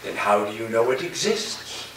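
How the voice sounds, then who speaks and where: A man in a staged play reading, playing an eccentric philosophy professor with a halting, whimsical, theatrical delivery. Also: medium pitch, very animated, a bit bright. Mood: suspicious, insistent. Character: eccentric male philosophy professor full of wild conspiracy theories. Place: reverberant room, staged reading before listeners. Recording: distant echoey room recording, faint noise.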